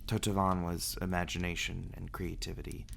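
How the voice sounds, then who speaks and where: Man acting as a low, gravelly brooding vampire. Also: level tone, a bit bright, slight background noise, full character voice. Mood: somber, explaining. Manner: halting, hesitant delivery with dramatic pauses and sighs. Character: reclusive male vampire, brooding and earnest, confiding in a companion. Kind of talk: ASMR roleplay audio drama acting.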